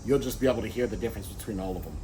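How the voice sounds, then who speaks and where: A man's voice: fairly low pitch, very fast, warm tone, a little hiss or hum, rough recording. A man reviewing gear in a casual, rambling talk straight to his viewers, restarting sentences as he goes. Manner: persuasive, addressing viewers directly. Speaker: tech product reviewer.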